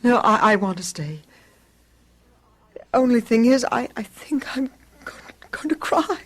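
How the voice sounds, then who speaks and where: An actress playing an anxious, tearful wife in a radio mystery drama, faltering and emotional. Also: female voice, fairly high pitch, animated, unhurried. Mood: anxious, near tears.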